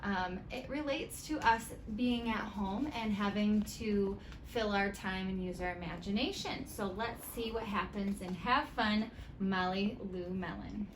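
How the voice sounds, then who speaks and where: A woman reading a picture book aloud to children, expressively and with deliberate emphasis. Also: medium pitch, noisy background. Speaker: children's read-aloud teacher. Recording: roomy, echoey indoor sound.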